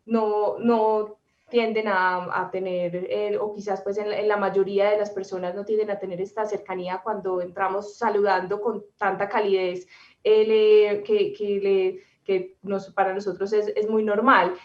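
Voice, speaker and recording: A woman's voice: mid-range voice, little pitch movement, moderate pace, far from the mic. A woman from Colombia hosts a conversation, thinking aloud and repeating words as she finds her phrasing. She sits away from the mic in a roomy space. The audio is muffled. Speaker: host. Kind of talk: hosting a conversation.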